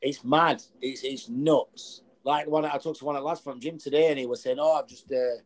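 A man, chatting as a podcast guest in a rambling, animated way. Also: medium pitch, moderate pace. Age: 30s. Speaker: guest, boxing coach. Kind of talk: casual podcast chat.

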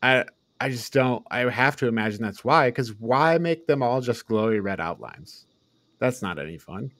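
A man's voice: mid-range voice, animated, normal speed, a bit bright, no echo, clean studio sound. A man co-hosting a podcast, giving casual, opinionated film critique in an exasperated, incredulous manner. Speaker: podcast co-host.

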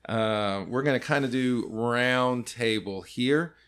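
A man's voice: mid-range voice, slow pace, fairly bright tone, smooth. A man in his forties hosting a casual podcast, joking loosely and laughing often as he leads the talk. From California. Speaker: podcast host.

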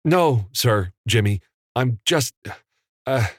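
A man plays a flustered, starstruck fellow in an audiobook. He is apologetic and halting, his pitch jumping around, recorded dry. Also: animated, no echo. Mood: nervous, sheepish. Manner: stammering, apologetic. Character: nervous, starstruck male trailer editor, flustered drifter.

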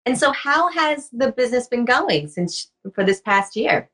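A woman's voice: fairly high pitch, lively intonation, unhurried, fairly bright tone, slightly rough. Encouraging woman podcast host. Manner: encouraging, frequent affirmations. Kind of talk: conversational interview.

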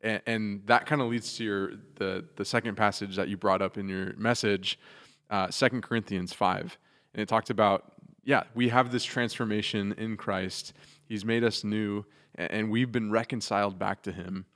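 A man's voice: on the low side, steady tone, normal speed, a bit bright, in a large hall. A man co-hosting a church podcast, asking thoughtful, rambling questions with restarts. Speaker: podcast co-host. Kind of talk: casual podcast discussion.

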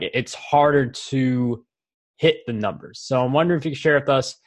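A man hosting a casual interview, talking in a conversational way and putting questions to guests. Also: medium pitch. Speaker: interview host.

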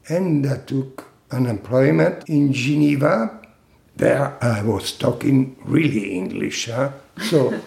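Older man, slow and gravelly. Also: on the high side, darkish tone, reverberant. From Italy. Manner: slow, halting storytelling with fillers and laughs. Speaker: interviewee. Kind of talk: oral history interview.

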